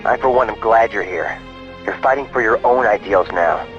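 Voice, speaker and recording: A man's voice: lowish voice, normal speed, a bit bright, lightly acted. A man playing a young, heroic rebel squadron leader in a video game cutscene, earnest and commanding. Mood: warm, pleased. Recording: dull lo-fi game audio, faint music.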